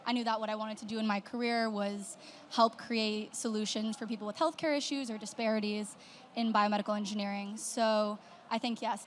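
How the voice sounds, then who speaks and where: A woman's voice: mid-range voice, slight background noise. A young woman, a university student, answering panel questions on a conference stage in an earnest, upbeat way. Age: young adult.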